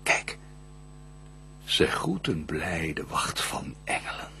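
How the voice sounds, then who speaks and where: An actor playing Lucifer, a vengeful, scheming fallen angel, in a theatrical radio play, declaiming in a husky, raspy voice that swings from hushed to roaring. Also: male voice, rumbling low, sing-song, takes it slowly, a little hiss or hum, full character voice. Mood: bitter, furtive. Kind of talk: theatrical radio drama verse monologue.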